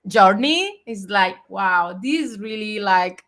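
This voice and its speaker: A woman's voice: medium pitch, lively intonation, slow, fairly bright tone. A woman in her forties hosting a live video chat, speaking in a reflective, earnest way with frequent fillers. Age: 40s. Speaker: host.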